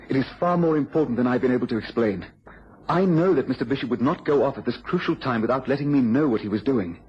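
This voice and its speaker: An actor playing an anxious inventor's assistant in a radio drama, British, earnest and slightly stammering. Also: male voice, medium pitch, a little mellow, close to an everyday voice. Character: anxious inventor's assistant pleading for a detective's help. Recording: dull, noisy radio recording.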